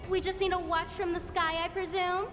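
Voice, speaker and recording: Confident game pilot lady, high voice, big echoey memory reverb. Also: flat delivery, fairly quick, a bit bright, soft background music. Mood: playful, confident. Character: bright, confident woman pilot heard in memory. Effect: echoing memory reverb. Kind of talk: video game character acting. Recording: long echoing reverb.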